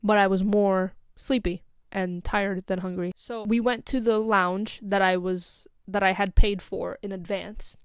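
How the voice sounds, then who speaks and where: A woman's voice: mid-range voice, steady tone, measured pace, hardly any pauses, smooth, no echo, studio quality. A young woman with an American accent telling a casual, rambling story as a vlogger, full of "like" and "um". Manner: rambling, scatterbrained, lots of "like" and "um".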